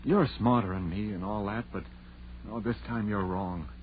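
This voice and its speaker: A man's voice: on the low side, fairly even intonation, normal speed, close to an everyday voice. An actor playing a superstitious old country man in a radio horror drama, folksy, hesitant and stubbornly insistent. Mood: grimly insistent. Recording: tinny, noisy vintage radio recording.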